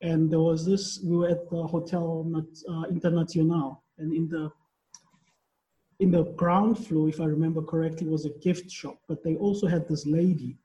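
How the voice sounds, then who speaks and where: A man's voice: on the high side, level tone, moderate pace, warm tone, low-quality recording. A man hosting a podcast, asking questions with curiosity and a casual, searching way of talking. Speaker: podcast host. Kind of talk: casual interview chat.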